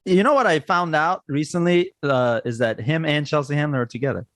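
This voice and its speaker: A man's voice: fairly high pitch, fairly quick, a bit bright, dry recording, studio quality. A man in his forties with a Persian accent, who grew up in Iran, hosting a casual podcast chat full of fillers and repeated yeahs. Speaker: podcast host.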